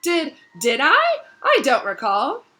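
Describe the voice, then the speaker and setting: Woman putting on a flustered, vain male professor, raised pitch. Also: huge pitch swings, slow pace, heavily acted. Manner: stammering, loud, evasive.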